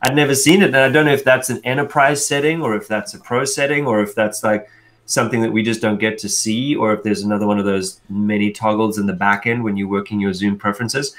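A man's voice: on the low side, steady tone, fast talker, fairly bright tone. A middle-aged South African man co-hosting a casual tech chat over a video call, rambling and thinking aloud with frequent restarts. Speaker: co-host. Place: indoor room on a video call. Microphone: wired earbuds on a video call.

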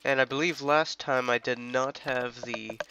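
A congested young man talking through a game on a headset mic, chatty and rambling, with sudden excited outbursts. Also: mid-range voice, unhurried, a little hiss or hum. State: congested from illness. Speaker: gaming channel host. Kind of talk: casual let's play commentary.

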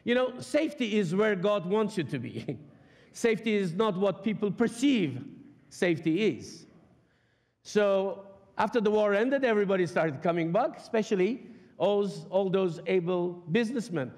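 A man's voice: sits high, expressive, darkish tone. Impassioned Iranian-born man preaching. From Iran. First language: Persian. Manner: impassioned, emphatic, slow weighty stresses. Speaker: guest preacher. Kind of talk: sermon. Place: large church sanctuary stage. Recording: long reverb of a church hall.

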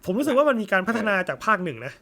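A young man chatting casually about a film, thoughtful and often pausing to search for words. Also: high-pitched, sing-song, pushed and bright. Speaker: co-host.

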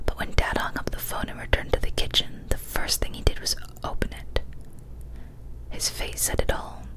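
A young woman reading a scary story as whispered ASMR, soft, breathy and deliberate, close to a studio microphone. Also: low-quality recording. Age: young adult. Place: small decorated indoor room. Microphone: large studio microphone, close up.

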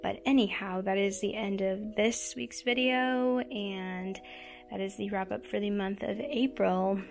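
A woman's voice: mid-range voice, steady tone, unhurried, gravelly, darkish tone, slight background noise. A young woman casually chatting through her monthly reads at home, with lots of ums and likes. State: voice going hoarse. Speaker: book and manga vlogger. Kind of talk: casual monthly reading wrap-up. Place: home, by a bookshelf.

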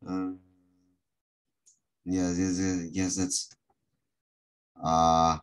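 Slow, low-pitched man teaching. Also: completely flat delivery, clear, resonant tone. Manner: halting, with long hesitations. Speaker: English teacher. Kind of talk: online group lesson, asking pupils questions. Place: online video call.

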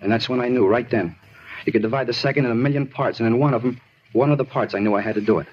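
An actor playing a talkative, disturbed murder suspect in a 1950s radio drama, rambling and insistent in an American accent. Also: male voice, medium pitch, rapid-fire, slight background noise, natural voice. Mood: intense, self-justifying. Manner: rambling, repetitive, insistent, plain-spoken. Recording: dull vintage radio recording.

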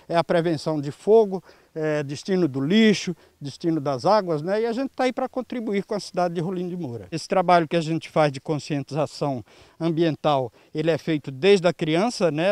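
A Brazilian man in his early sixties answering an interview earnestly and haltingly through a face mask, into a handheld microphone. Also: a little high, darkish tone, dry recording. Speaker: environmental volunteer leader. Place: outdoors in a grassy clearing. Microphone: handheld reporter microphone.

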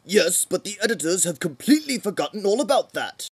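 A man's voice: high-pitched, big pitch swings, a little fast, slightly rough, full character voice. A man voicing a solemn, stubborn mouse abbot in a cartoon parody dub, formal and insistent.

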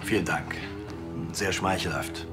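A man's voice: sits low, measured pace, music in the background. An actor playing an earnest male crime writer, formal, hesitant and effusive, in a TV comedy. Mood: flattered, awed. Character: earnest, self-important male crime novelist.

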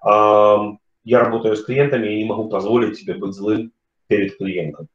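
Middle-aged male webinar speaker, halting casual delivery, webcam sound in an echoey home room. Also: fairly low pitch, fairly even intonation. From Kharkiv, Ukraine. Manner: hesitant, many fillers and pauses. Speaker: webinar speaker, company CEO. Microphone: webcam on video call. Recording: roomy, dull video call audio.

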